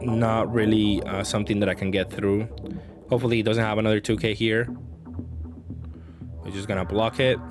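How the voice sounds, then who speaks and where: A man with a fairly low voice commentates casually on his own gameplay. He reasons aloud as he goes, hesitating and working through choices. Music is clearly audible underneath his speech. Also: level tone, moderate pace, long pauses. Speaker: card game player and channel host. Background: background music.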